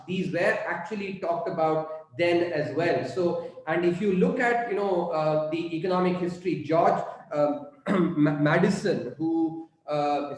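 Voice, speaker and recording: A middle-aged man from southern India, a native Tamil speaker with an Indian English accent, gives an unscripted college lecture, pausing often with fillers and restarts. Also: a little high, darkish tone. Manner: halting, many fillers and restarts. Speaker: guest speaker on economics. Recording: very echoey room.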